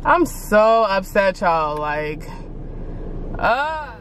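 Young woman, American accent, beauty vlogger, unhurried pace, expressive casual chat, car cabin noise. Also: mid-range voice, poor audio. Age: young adult. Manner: playful, slangy, expressive. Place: inside a car.

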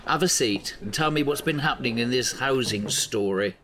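A man's voice: mid-range voice, moderate pace, a bit bright, a little hiss or hum, lightly acted. A man acting as a seasoned, middle-aged small-town newspaper editor in an audio drama, dry and avuncular. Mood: wry.

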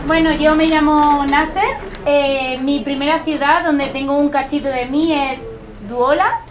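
A woman's voice: sits high, fairly even intonation, unhurried, a crowd in the background. A woman giving a personal introduction as a workshop participant. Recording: music playing behind the voice.

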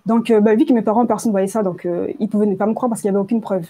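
A woman's voice: mid-range voice, fairly even intonation, very fast, warm tone. A nineteen-year-old woman from Congo who grew up partly in France, giving a personal testimony in a hesitant, colloquial way with repeated words. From Congo, moved to France at age nine. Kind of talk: personal testimony, informal spoken storytelling.

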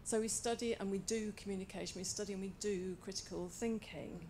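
Woman academic, measured and articulate, vocal fry throughout, faint room noise. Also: mid-range voice, fairly even intonation, a little mellow. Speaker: academic audience member. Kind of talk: panel discussion remarks. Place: lecture hall, in the audience.

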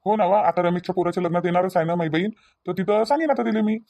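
A woman's voice: lowish voice, rapid-fire, warm tone, dry recording. A woman voicing a placid older village father-in-law in a cartoon comedy, conciliatory and matter-of-fact, with a Varhadi Marathi accent. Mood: easygoing. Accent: Varhadi Marathi from Vidarbha. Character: placid older father-in-law, mild peacemaking rural husband.

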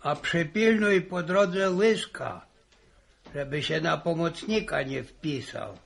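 A man's voice: a little high, takes it slowly, fairly bright tone, exaggerated voice. An actor playing a chatty, wry old country grandfather in a vintage radio serial. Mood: amused, teasing. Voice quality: gravelly, husky. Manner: repetitive, interjecting, folksy. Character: chatty old peasant grandfather, wry, reminiscing, gently grumbling. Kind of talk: 1960s radio serial acting. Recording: vintage 1960 radio recording.

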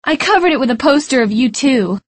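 Reader putting on a high, eager teen girl for a storybook, dry and close. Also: expressive, a little fast, dry recording. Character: cheerful teenage girl Michelle.

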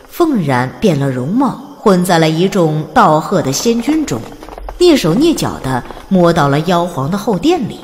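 A woman narrating an audiobook with expressive, gently lilting storytelling. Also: on the low side, big-room sound, a little hiss or hum.

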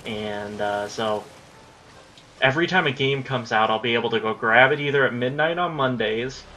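Chatty young man gaming, bright voice. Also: medium pitch, normal speed, a little hiss or hum. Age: young adult. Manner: rambling, chatty, swears when the game goes wrong. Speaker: gaming YouTuber. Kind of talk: casual commentary over gameplay.

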